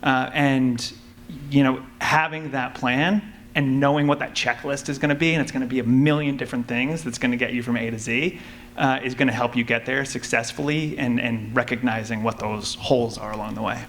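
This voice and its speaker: A man's voice: medium pitch, fairly even intonation, fairly quick, fairly bright tone. A man speaking as a panelist, giving methodical, practical advice in a casual explanatory way at a live event. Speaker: audio drama creator, panelist. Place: live convention panel before an audience. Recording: live panel with background room noise.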